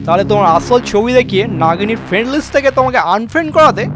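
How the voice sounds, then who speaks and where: A man's voice: high-pitched, animated, very fast. Man as a young male snake, cheeky, easygoing, slangy cartoon banter, prominent music behind. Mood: mocking. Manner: chatty, teasing, slangy. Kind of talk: comic cartoon dialogue.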